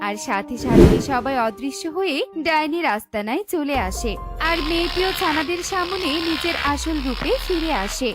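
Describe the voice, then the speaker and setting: A woman's voice: high voice, moderate pace, over loud music. A woman reading scripted narration for a children's cartoon story.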